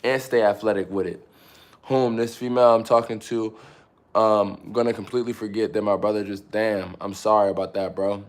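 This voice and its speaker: Low-voiced guy in his late 20s hyping up his stream chat, repeats himself a lot, echoey room. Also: fairly even intonation, normal speed. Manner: repeats phrases, motivational, fillers like "you know what I'm saying". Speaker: fitness live streamer. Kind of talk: casual live stream chat.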